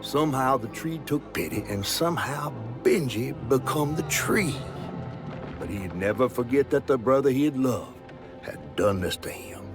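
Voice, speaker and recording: An actor playing a giant talking fish in a video game, a sly storyteller with a Southern US accent and a theatrical, teasing folksy manner. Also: male voice, medium pitch, lively intonation, moderate pace, music in the background, lightly acted. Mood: somber storytelling. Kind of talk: video game character acting.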